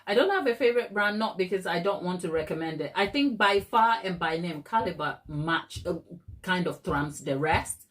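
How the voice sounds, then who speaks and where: A woman's voice: fairly low pitch, animated, moderate pace, fairly bright tone. Chatty Ghanaian-born woman hosting a live stream. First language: Twi. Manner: chatty, laughs often, addresses viewers directly. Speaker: cake decorating live-stream host. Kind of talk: casual live-stream chat and tutorial.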